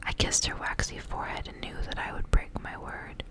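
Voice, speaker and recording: A woman reading a story aloud in an ASMR style, whispering softly and without hurry. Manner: whispering, soft and unhurried storytelling. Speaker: ASMR reader.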